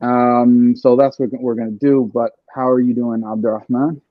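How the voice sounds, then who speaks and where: A man's voice: medium pitch, level tone, moderate pace, no room sound. A male podcast host in casual chat, explaining an idea step by step with many fillers. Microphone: home computer mic over a stream. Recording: dull lo-fi mic.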